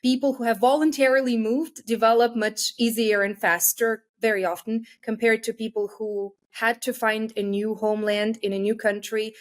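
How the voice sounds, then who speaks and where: A woman from Russia with a fairly high voice speaks as an interview guest. She chooses her words carefully and answers in a measured, articulate way. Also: steady tone. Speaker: guest, intercultural communication expert.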